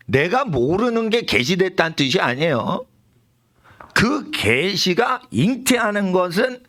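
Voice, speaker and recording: Animated preaching man, lively swooping pitch. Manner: mixes English words into his talk. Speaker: pastor. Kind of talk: sermon. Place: church sanctuary.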